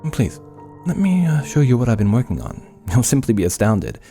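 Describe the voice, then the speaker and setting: An actor playing an aloof, obsessive scientist in a horror audio drama, speaking formally and dismissively. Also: male voice, medium pitch, huge pitch swings, a little fast, mellow, soft background music. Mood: wistful, eager.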